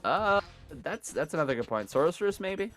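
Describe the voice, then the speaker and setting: A man commentating a live gaming marathon in a casual, chatty, self-deprecating way, his voice hoarse from days of yelling. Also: a little high, very animated, normal speed, soft background music.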